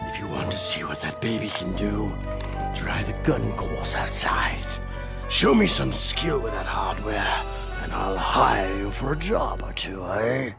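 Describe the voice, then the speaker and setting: A man's voice: fairly low pitch, sing-song, unhurried, gravelly, loud music behind the voice, full character voice. An actor playing a huge, greedy, menacing male crime boss in a video game, who drawls and sneers with a mocking tag on his lines. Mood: oily menace. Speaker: game character.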